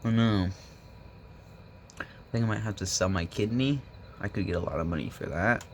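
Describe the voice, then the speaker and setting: Hoarse young man rambling casually. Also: on the low side, expressive, a little fast, a little hiss or hum. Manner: meandering, joking, laid-back. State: hoarse from smoking. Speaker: vlogger. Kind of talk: rambling casual vlog chat. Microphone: phone.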